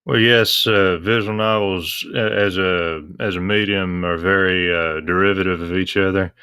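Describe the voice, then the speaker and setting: A man giving measured, matter-of-fact explanations as a guest in a casual discussion. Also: low-pitched, steady tone, bright, forceful tone, clean tone, dry recording. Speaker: interview guest, visual novel translator.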